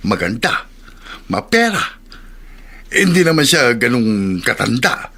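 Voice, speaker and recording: A man's voice: mid-range voice, measured pace, husky, exaggerated voice. An actor playing a teasing, worldly older male confidant with a coaxing manner in a Tagalog radio drama. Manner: coaxing, animated.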